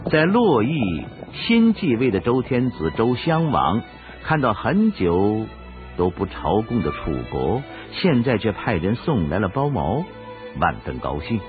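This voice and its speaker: A man's voice: lowish voice, very animated, measured pace. A man narrating a historical radio drama as a scripted, dramatic storyteller. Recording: dull recording with music behind. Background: music.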